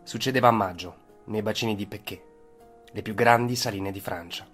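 A man tells a historical tale as a scripted dramatic narration. His voice sits low, with faint music running behind it. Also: brisk, fairly bright tone. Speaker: storyteller.

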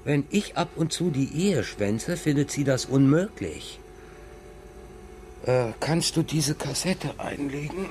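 A man's voice: fairly high pitch, lively intonation, slow pace, background noise. An actor playing a whimsical, sleepy middle-aged father who banters hesitantly in a children's radio play. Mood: teasing.

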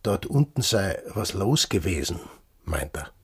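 An actor playing a brusque, mocking sailor in a radio drama. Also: male voice, low voice, very animated, unhurried, full character voice. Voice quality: husky. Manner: gruff, sarcastic. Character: brusque, mocking sailor living alone in a hut.

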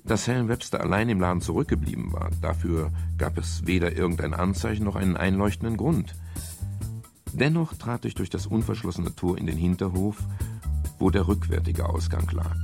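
A sober private detective with a low voice and a creaky edge. He is measured and matter-of-fact, in the manner of a radio crime play. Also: a little mellow. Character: pensive, matter-of-fact private detective narrating his case. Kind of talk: scripted first-person radio crime narration.

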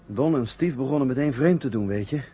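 An actor playing a weak, dazed astronaut starved of oxygen, speaking haltingly in a 1970 radio drama on a dull recording. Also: male voice, mid-range voice, animated, lightly acted. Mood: dazed, troubled. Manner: halting, repeating words. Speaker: actor in a science fiction radio serial. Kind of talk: 1970 Dutch-language radio drama acting. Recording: dull vintage radio recording with faint hum.

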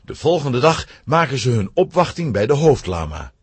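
A man narrating a scripted children's adventure story in an expressive storytelling manner. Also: mid-range voice, normal speed, slightly rough.

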